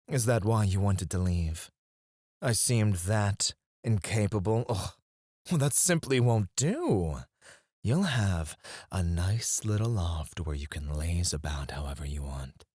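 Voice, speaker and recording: Man acting a low, breathy, possessive CEO. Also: darkish tone, no room sound, studio quality, exaggerated voice. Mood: mocking, offended, then controlling, hushed. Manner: slow, deliberate, condescending, swinging between murmurs and outbursts. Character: obsessive possessive male CEO, coldly controlling, menacingly doting. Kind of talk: ASMR roleplay, intimate dramatic monologue.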